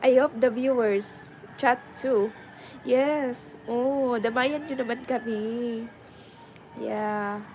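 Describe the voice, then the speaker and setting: A woman's voice: on the high side, steady tone, drawn-out words, darkish tone, a little hiss or hum, poor audio. A young adult woman with a Filipino accent, chatting casually to her viewers and switching between English and Tagalog. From Philippines. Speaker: vlogger.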